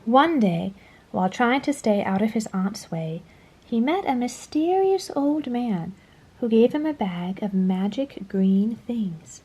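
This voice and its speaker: A woman reading a children's story aloud in a dramatic storytelling style, dropping to a hush at phrase endings. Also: a little high, animated, takes it slowly, breathy edge, rough recording. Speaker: storybook reader. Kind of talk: expressive children's read-aloud narration.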